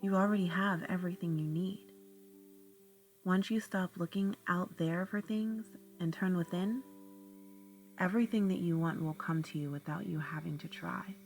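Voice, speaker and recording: A woman guiding a relaxation meditation, speaking in a slow, soothing, soft-spoken way. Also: on the low side, fairly even intonation, faint music behind. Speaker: meditation guide. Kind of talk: guided meditation narration.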